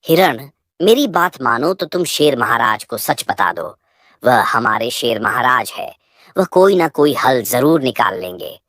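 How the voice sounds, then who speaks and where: A wise elder male elephant from an animal cartoon, voiced by a woman, with a very deep voice. He advises earnestly and persuasively, with respect and concern. The sound is dry and close. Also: big pitch swings, unhurried, no room sound, exaggerated voice. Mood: urging. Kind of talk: children's animal moral-story cartoon.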